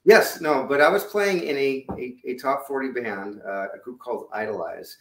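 A man's voice: moderate pace, a bit bright. Rambling man in an echoey room. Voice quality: lower-range voice. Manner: rambling, many fillers and restarts. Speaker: musician guest. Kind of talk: casual interview chat. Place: home room indoors. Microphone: video call webcam.

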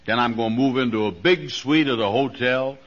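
A man's voice: medium pitch, brisk, fairly bright tone, lightly acted. An actor playing a boastful, naive, self-important man with folksy, ungrammatical delivery in a vintage radio comedy. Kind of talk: 1940s radio comedy acting. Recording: dull vintage radio recording.

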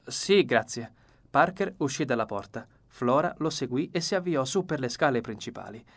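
A man's voice: medium pitch, expressive, a little fast, fairly bright tone. A man narrating a first-person novel as an audiobook, reading from a script.

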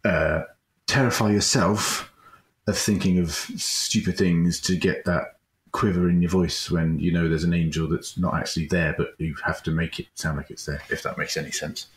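A man's voice: deep voice, steady tone, normal speed. A man, an amateur voice actor, chatting casually in a modest, tentative way. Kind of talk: casual behind-the-scenes chat.

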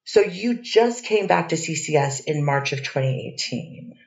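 A woman's voice: deep voice, animated, measured pace, vocal fry, highs cut off. A woman attorney questioning a witness in a courtroom, hesitant and hedging, with many restarts. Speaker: defense attorney. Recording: distant echoey courtroom audio.

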